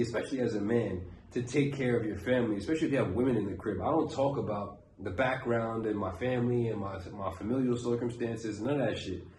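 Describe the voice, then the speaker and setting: A man's voice: mid-range voice, dark tone. Profane, preachy New man, roomy sound. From Brooklyn, New York. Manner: blunt, profane and preachy, circling back to his point. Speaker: self-improvement coach. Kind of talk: casual motivational monologue. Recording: roomy echo, faint background noise.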